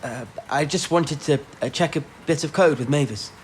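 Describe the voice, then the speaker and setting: A man playing a shy, smitten mathematician, hesitant and gentle in a period radio drama. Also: a little high, normal speed, a little hiss or hum, close to an everyday voice. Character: shy, sincere, smitten male mathematician codebreaker.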